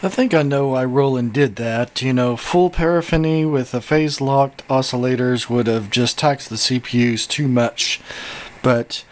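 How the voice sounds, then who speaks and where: A man's voice: mid-range voice, moderate pace, a little hiss or hum. A man giving a casual product review and demonstration as a synthesizer reviewer. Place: indoor home studio with synths. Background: synthesizer and drum machine music.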